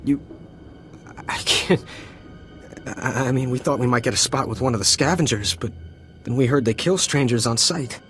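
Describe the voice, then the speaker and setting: An actor playing a desperate, war-weary deserter soldier in a video game, stammering and pleading nervously. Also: male voice, medium pitch, normal speed, pauses often, fairly bright tone, soft background music, close to an everyday voice. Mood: stunned, pleading. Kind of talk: dramatic video game acting.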